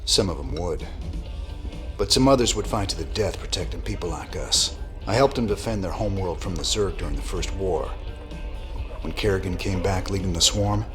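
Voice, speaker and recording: An actor playing a weary rebel commander with a dry, laconic drawl. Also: male voice, sits low, normal speed, a bit bright, soft background music.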